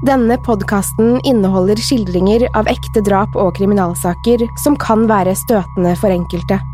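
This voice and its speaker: A woman's voice: medium pitch, dark tone, soft background music. A woman, a podcast host reading a scripted true crime narration.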